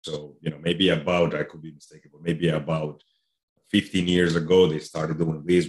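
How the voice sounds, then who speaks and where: A man's voice: low-pitched, roomy sound, low-quality recording. A man from Colombia with a Colombian accent, a youth soccer trainer chatting as a guest, loose and full of "you know". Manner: conversational, many fillers like "you know". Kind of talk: casual interview chat.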